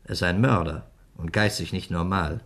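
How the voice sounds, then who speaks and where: A man playing a weary, stern police inspector in a 1960s radio drama, speaking in a measured, admonishing way. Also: low voice, fairly bright tone.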